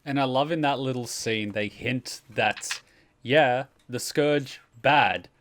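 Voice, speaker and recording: A man's voice: mid-range voice, slow pace, a bit bright, soft background music. A man hosting a reaction video, explaining things in casual, emphatic commentary.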